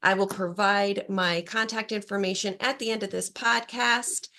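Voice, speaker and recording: A woman hosting a podcast as an empowerment coach, upbeat and encouraging, her voice slightly hoarse from a cold. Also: mid-range voice, steady tone, normal speed, pushed and bright. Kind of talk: scripted intro then interview chat.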